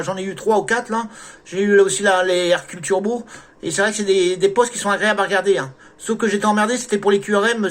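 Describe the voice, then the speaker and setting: High-voiced man chatting on radio. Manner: frequent "hein" and "là" tags. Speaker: amateur radio operator. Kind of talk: casual radio contact chat. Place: at home radio station. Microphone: desk microphone through a mixer.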